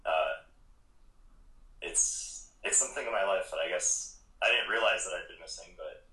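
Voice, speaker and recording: An easygoing man in casual chat who stops and restarts his sentences as he thinks. His voice is very low yet bright and cutting. It sounds roomy and echoey, as if he is in a bare room. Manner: easygoing, halting, with frequent restarts and mild swearing. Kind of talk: casual conversation. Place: video call.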